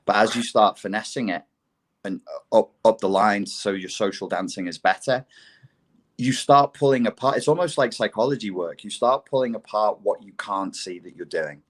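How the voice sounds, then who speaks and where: A man's voice: on the low side, normal speed. A man talking bluntly and swearing freely in a casual podcast chat, often mocking himself. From England.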